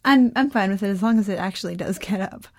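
A woman's voice: medium pitch, fast talker, a little mellow. A teenage girl chatting casually, rambling and interrupting herself with dry humor. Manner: rambling, self-interrupting, dryly joking. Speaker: young podcast creator and guest. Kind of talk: casual chat.

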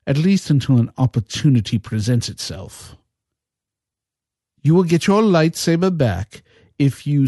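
A man acts out a coldly polite, dryly amused dark-side master in a space fantasy audio drama. His mellow voice moves slowly and formally at a medium pitch. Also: no echo, studio quality. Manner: measured, formal, wry. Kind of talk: science-fiction audio drama acting.

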